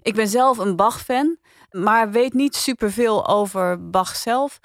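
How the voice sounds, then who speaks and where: A woman's voice: mid-range voice, takes it slowly, no room sound, studio quality. A woman with a Dutch accent hosting a podcast in casual review chat. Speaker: podcast host.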